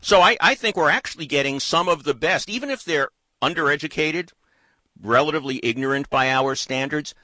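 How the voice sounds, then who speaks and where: A man in casual political chat, thoughtful and animated, talking in long winding runs. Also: on the high side, fairly quick, no room sound. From United States.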